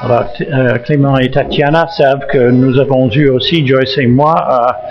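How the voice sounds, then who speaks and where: A man in his seventies from Massachusetts with an American accent, a retired missionary pastor speaking French with many fillers and restarts and gentle jokes. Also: medium pitch, steady tone, dull audio, soft background music. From United States, Massachusetts. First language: English. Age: 70s. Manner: halting, frequent fillers and restarts, gently joking. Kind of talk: church talk introducing himself.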